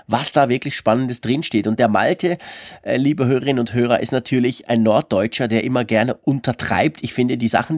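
Heiserer Schweizer Mann, erkältet. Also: male voice, mid-range voice, no room sound, studio quality. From Switzerland. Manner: chatty, animated, colloquial. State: hoarse from a cold. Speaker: podcast co-host. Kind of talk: casual tech podcast chat.

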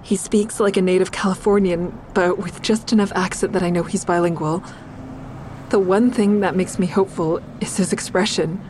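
An actress playing a wary, anxious woman who narrates an audio drama in the first person, measured and confiding. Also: on the low side, fairly even intonation, darkish tone, a little hiss or hum, lightly acted. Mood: tense, measured.

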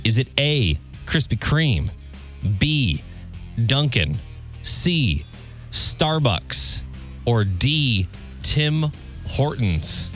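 A man's voice: mid-range voice, big pitch swings, extremely slow, long pauses, a bit bright. Male radio host running a quiz over music. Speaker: radio show host, quizmaster. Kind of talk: upbeat radio quiz banter, reading trivia questions. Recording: music bed behind the voice. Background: background music.

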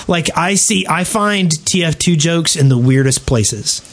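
A man with a voice a little higher than average speaks as a podcast panelist. He keeps to casual, conversational gaming talk. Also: lively intonation, measured pace.

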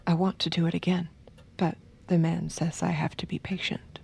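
A seductive, submissive woman killer confides in an audio drama. Her voice is lowish, creaky and soft-edged, with a mellow tone. She speaks intimately, as if sharing a secret. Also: flat delivery, moderate pace, full character voice. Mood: calm, confiding. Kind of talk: intimate first-person narration and acted dialogue in audio drama.

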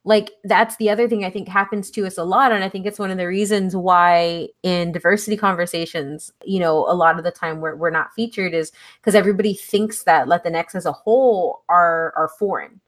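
A woman's voice: lowish voice, fairly even intonation, brisk, hardly any pauses. A woman from Texas in casual conversation, earnest and thoughtful, often restarting her sentences with "like". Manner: earnest, frequent "like" fillers and restarts.

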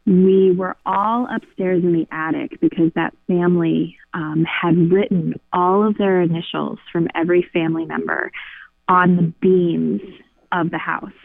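A woman's voice: on the low side, steady tone, measured pace, creaky, no room sound. A woman caller telling a story casually over a phone line. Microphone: phone call. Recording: narrow tinny phone line.

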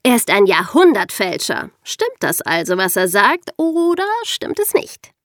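A woman's voice: medium pitch, big pitch swings, unhurried, a bit bright, dry recording, clean studio sound. A woman co-hosting a podcast, talking in a casual discussion style. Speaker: podcast co-host.

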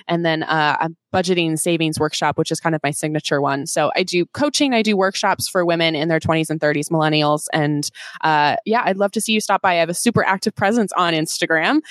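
A woman in her mid-twenties talks eagerly as a guest co-host, dropping in fillers as she goes. Her voice sits a little low, and it is recorded close and dry. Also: fairly bright tone, no echo. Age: 20s. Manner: enthusiastic, with many fillers. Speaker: guest co-host, finance coach. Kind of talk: casual podcast chat.

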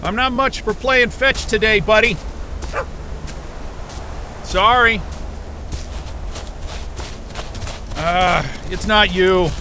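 An actor playing a weary, disgruntled scientist who mutters sardonic, profane asides in a naturalistic audio drama, with noise around him. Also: male voice, high-pitched, slow pace, bright, forceful tone, full character voice. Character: weary, disgruntled male astrophysicist talking to his dog.

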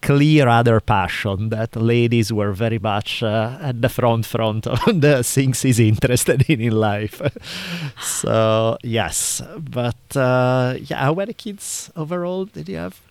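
A man's voice: medium pitch, takes it slowly, hardly any pauses, clean tone, dry recording. A man with an Italian accent hosting a casual interview, rambling and laughing often. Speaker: podcast host.

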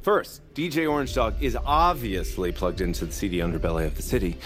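An actor playing a cocky, dim young schemer in a comedic audio drama, breezy and slangy. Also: male voice, on the low side, fairly quick, fairly bright tone, faint music behind, natural voice. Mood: confident. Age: young adult. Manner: breezy, self-assured, slangy.

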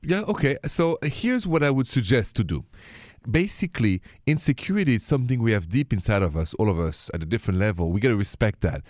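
A man's voice: fairly low pitch, lively intonation, fast talker, a little mellow, no echo, clean studio sound. A man from Paris with a French accent, hosting a call-in radio show and giving relationship advice in a conversational, direct way. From Paris, France.

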